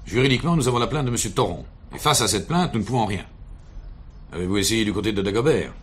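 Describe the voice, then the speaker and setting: Lowish-voiced, cautious police chief played by an actor. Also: male voice, lively intonation, quick pace, natural voice. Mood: cautious, official. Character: cautious, weary, authoritative police director. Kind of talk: French 1970s radio drama acting. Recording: faintly noisy old radio drama recording.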